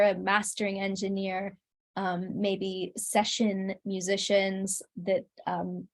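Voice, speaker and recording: Female interview guest, average pitch, casual conversational delivery, thoughtful and earnest. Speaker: interview guest, singer-songwriter.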